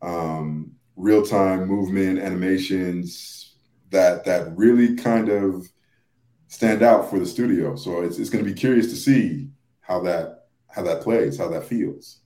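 Guy on a gaming podcast, deep voice, keeps restarting his sentences, echoey room. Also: warm tone. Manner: halting, repeats and restarts phrases. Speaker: podcast panelist. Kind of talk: casual gaming discussion. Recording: roomy, echoing sound.

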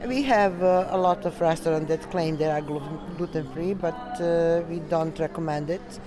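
A woman from Serbia with a Serbian accent, answering interview questions in halting, non-native English as a delegate. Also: fairly low pitch, a crowd in the background. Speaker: coeliac association delegate being interviewed.

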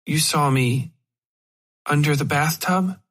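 A man voicing a caring, professional woman in an audio horror story, speaking with measured, placating concern. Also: on the high side, fairly bright tone, pitched above the performer's own voice. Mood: probing, careful.

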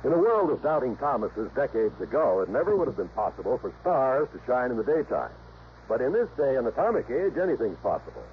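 An American man announcing in a scripted mid-century network radio style, formal and grandiose with carefully measured phrasing. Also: mid-range voice, very animated. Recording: muffled old radio broadcast, faint noise.

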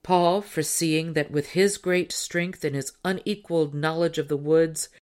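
A woman reading a tall tale as an audiobook narrator, in measured, clearly phrased scripted storytelling. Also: low-pitched, steady tone, a little mellow.

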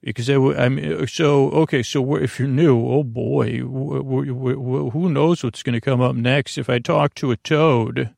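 A man with a creaky voice hosting a bedtime podcast, rambling haltingly through tangents in a soothing, lulling way. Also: medium pitch, fairly even intonation, a little mellow, no room sound, studio quality. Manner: meandering, halting, full of tangents. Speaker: podcast host.

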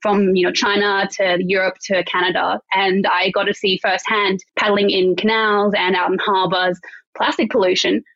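A woman's voice: mid-range voice, flat delivery, moderate pace, fairly bright tone, no room sound. Young woman, podcast guest, chatty, dry, slightly muffled audio. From Australia. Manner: chatty, self-deprecating storytelling. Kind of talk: casual podcast chat.

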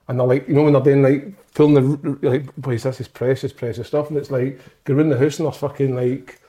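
A man's voice: medium pitch, little pitch movement, quick pace, warm tone. A man co-hosting a football chat show, rambling and joking crudely, laughing easily. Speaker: podcast co-host, goalkeeper. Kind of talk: casual banter.

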